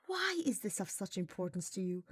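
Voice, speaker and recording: Woman as a growling, formal male dark mage. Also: medium pitch, very animated, brisk, breathy edge, no room sound, studio quality. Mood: irritated. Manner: growling, clipped through clenched teeth, formal. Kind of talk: audiobook character voice.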